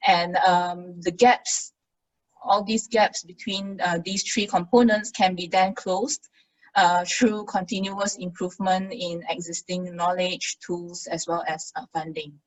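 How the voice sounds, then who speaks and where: A woman speaking as an academic panellist on a webinar, halting with many fillers. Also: lowish voice, steady tone, poor audio. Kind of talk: webinar talk. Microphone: video call.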